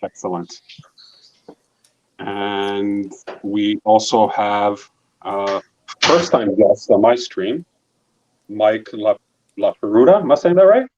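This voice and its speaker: A man's voice: lowish voice, takes it slowly, pauses often, darkish tone. A man hosting a casual live stream, introducing guests and joking with them. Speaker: stream host. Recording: dull lo-fi audio.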